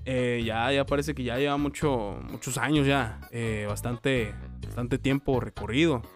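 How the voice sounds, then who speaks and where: A man's voice: mid-range voice, steady tone, unhurried. A man with a Mexican accent narrating a comedic explainer as host, rambling and theatrically exasperated, making fun of himself. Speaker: video creator and host. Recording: music behind the voice. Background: background music.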